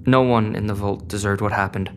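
A man playing an anxious, conflicted teenage boy in an audio drama, speaking in a halting, self-questioning way. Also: on the low side, steady tone, close to an everyday voice. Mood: troubled, regretful. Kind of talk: audio drama acting with inner monologue. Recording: long reverb, clear music behind.

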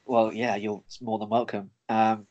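A man's voice: lowish voice, flat delivery, measured pace, darkish tone, muffled recording. A young man with a Northern English accent hosting a podcast, upbeat and friendly, laughing easily as he chats. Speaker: podcast host. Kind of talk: casual conversation.

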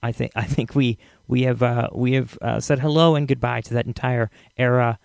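A man gives casual podcast commentary in a creaky voice of medium pitch. He rambles, hesitates and sounds wryly amused, recorded dry and close. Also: steady tone, moderate pace, dry recording. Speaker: podcast host.

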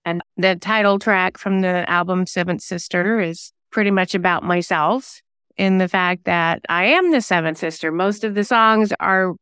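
Woman songwriter chatting in an interview, super clean smooth voice, slightly low. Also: normal speed, a bit bright, no echo, studio quality. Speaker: singer-songwriter guest. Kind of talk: casual interview chat.

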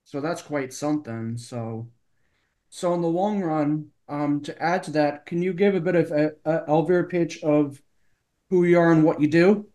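Halting higher-voiced male podcast host. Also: warm tone. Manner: halting, searching for words. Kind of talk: casual interview.

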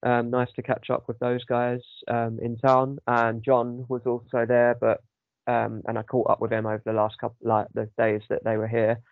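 Guy with a sore throat, gravelly voice, rambly podcast chat full of ums, dull dry lo-fi sound. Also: medium pitch, monotone, normal speed, dry recording. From United Kingdom. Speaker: podcast host. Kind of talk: casual chat.

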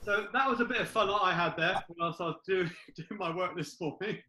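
A man's voice: sits high, fairly quick, sharp tone, echoey room. A man co-hosting a casual chat, teasing and laughing along. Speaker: podcast co-host.

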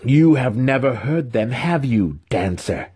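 A man voicing a veteran dark elf weapon master, scornful and derisive, taunting his pupil in a fantasy audiobook. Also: medium pitch, expressive, a little slow, slightly rough, pitched above the performer's own voice. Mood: bitter, goading.

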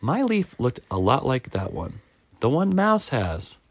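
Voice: medium pitch, sing-song, measured pace, dry recording, pitched above the performer's own voice. A reader voicing a frantic, suspicious squirrel in a picture book, melodramatic and exclaiming. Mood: worried, accusing. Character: frantic, suspicious squirrel fretting over stolen leaves. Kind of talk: picture-book read-aloud.

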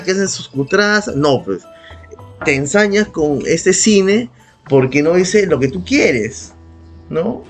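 A Peruvian man co-hosting a casual podcast, argumentative and sarcastic, swearing freely as he gives his opinions. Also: high voice. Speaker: podcast co-host. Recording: background music under the voice. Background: music.

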